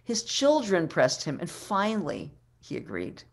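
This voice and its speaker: A woman in her sixties tells a story as a keynote speaker. Her voice is low and she takes her time, pausing and repeating phrases for dramatic weight. She is talking into a webcam mic in a room at home. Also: huge pitch swings, creaky. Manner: deliberate, dramatic storyteller with pauses and repetition. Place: living room at home, indoors. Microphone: webcam video call microphone.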